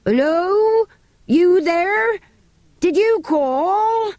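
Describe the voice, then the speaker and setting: A woman's voice: extremely high pitch, expressive, extremely slow, darkish tone, no echo, studio quality, voice pushed up, heavily acted. A woman voicing a wary, dutiful male country servant in an audiobook, firm and rustic in speech. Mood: shouting. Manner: firm, countrified turns of phrase. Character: wary rustic English manservant, dutiful adult man.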